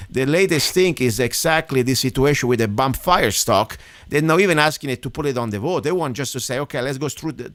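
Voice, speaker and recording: A man's voice: fairly high pitch, a bit bright, dry recording. Man, indignant radio host. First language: Italian. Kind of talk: casual radio talk.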